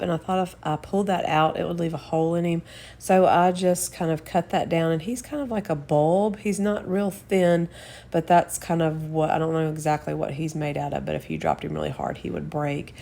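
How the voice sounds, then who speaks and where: A woman with a Southern US accent, slightly hoarse and scratchy from a cold, chatting through craft steps as a channel host. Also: lowish voice, dark tone. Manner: chatty, homey, explains each step. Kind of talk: casual DIY voice-over.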